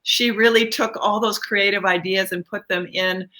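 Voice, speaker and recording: A woman's voice: mid-range voice, fairly even intonation, moderate pace, sharp tone. A middle-aged or older woman, a guest children's author, chatting casually and explaining things a little hesitantly. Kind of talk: casual interview chat.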